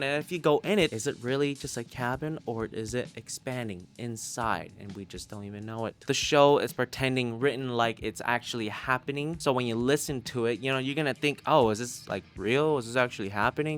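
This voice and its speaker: A man giving a casual, sweary video review of audio drama podcasts in an expressive, informal way. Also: mid-range voice. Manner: expressive, foul-mouthed, informal. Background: background music.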